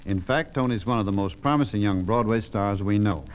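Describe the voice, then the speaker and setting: A man's voice: lowish voice, fairly quick, slight background noise. A man hosting a 1950s radio show with polished, formal showbiz patter, on a vintage recording.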